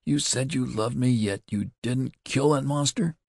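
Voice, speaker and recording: A man's voice: mid-range voice, measured pace, creaky, darkish tone, no echo, clean studio sound, voice pushed up. A man voicing a distraught young woman crying out in anguish. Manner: wild, anguished.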